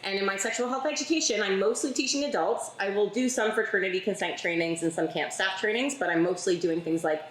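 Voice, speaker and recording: A playful woman talking in a casual chat. She steers the conversation with easy confidence and explains things clearly, at a middling pitch. Her voice carries some echo from the room around her. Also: fast talker, hardly any pauses, slight background noise. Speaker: sex educator and relationship coach. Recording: echoey room.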